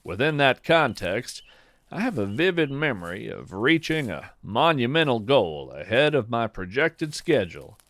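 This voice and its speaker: A man hosting a podcast, reading his own reflective essay in a measured, musing way that lingers on phrases. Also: mid-range voice, big pitch swings, fairly bright tone, dry recording. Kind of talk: slow reflective scripted narration.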